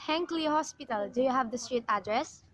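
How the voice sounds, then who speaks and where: A woman's voice: high voice, brisk, a little hiss or hum, lightly acted. A woman playing a courteous, procedural directory information operator in an amateur radio play, speaking formally and methodically. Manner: formal, recites numbers methodically.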